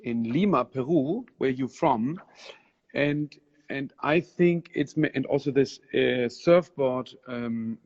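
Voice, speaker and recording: Male gallery host, mid-pitched, muffled lo-fi stream sound, rambling questions. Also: slow, darkish tone. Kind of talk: live interview.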